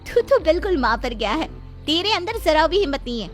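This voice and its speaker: A woman's voice: high-pitched, very animated, a little fast, faint music behind. A woman voicing a bold, determined elder sister in a cartoon, confident and commanding. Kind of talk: Hindi cartoon voice acting.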